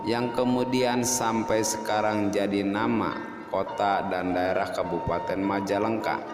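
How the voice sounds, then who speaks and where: Echo-drenched low-voiced male storyteller. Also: fairly even intonation, a little slow. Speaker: storyteller and host. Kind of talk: scripted folk-tale narration. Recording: echoey long reverb, music behind. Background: music.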